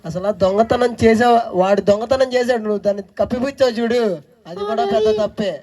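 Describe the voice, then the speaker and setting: A performer playing a calm, forgiving daddy puppet who questions and gently admonishes children in a church puppet show. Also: medium pitch, fast talker, lightly acted. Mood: gently scolding.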